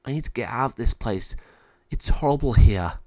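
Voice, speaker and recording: A man's voice: medium pitch, animated, moderate pace, dark tone, no room sound, close to an everyday voice. A man voicing a frightened, lonely male shepherd dog in a story, with a distressed, pleading manner. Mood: miserable.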